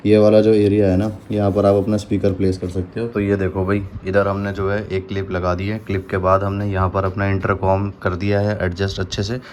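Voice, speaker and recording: A man's voice: sits low, monotone, a little fast, few pauses, darkish tone, slight background noise. A man giving a casual hands-on product demonstration, chatty and informal, talking straight to viewers like a vlogger. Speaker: motorcycle vlogger and product reviewer.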